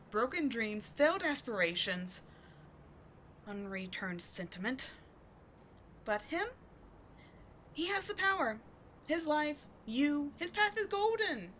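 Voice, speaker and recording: A woman's voice: mid-range voice, fairly bright tone, slight background noise. A woman playing a bitter, passionate villain with secret devotion, speaking in a theatrical, intense, emphatic way in a dramatic audio roleplay. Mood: bitter, scornful. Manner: theatrical, intense, emphatic, with sighs and dropped whispers. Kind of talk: dramatic audio roleplay monologue addressed to the listener.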